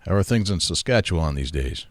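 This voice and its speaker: Very deep-voiced eager podcast host. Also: male voice, animated, brisk, no echo. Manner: eager, chatty, agreeing often. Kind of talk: casual podcast interview.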